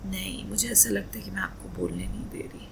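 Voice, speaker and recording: A woman playing a loving, playful wife in a romantic roleplay ASMR, speaking softly and breathily in an intimate, coaxing manner, often slipping into whispers. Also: mid-range voice, big pitch swings, a little fast, vocal fry, background noise. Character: loving, emotional, playful young wife doting on husband.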